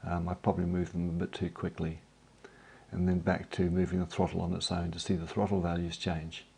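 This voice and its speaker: A man with a head cold speaks in a very low, gravelly voice with a husky, mellow tone. He talks in a relaxed, unhurried way, pausing often on ums and uhs as he narrates his own hobby video. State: has a cold, croaky voice. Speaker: drone hobbyist vlogger. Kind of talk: casual voice-over narration.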